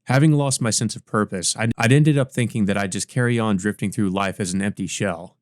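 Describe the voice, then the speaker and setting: A man's voice: on the low side, fairly quick, dry recording. A man hosting a let's play, reading a visual novel aloud with casual asides. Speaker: let's play host and reader.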